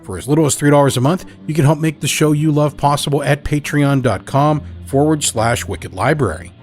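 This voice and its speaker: A man delivering a scripted podcast introduction as host, in a measured, deliberate manner. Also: a little high, darkish tone, faint music behind.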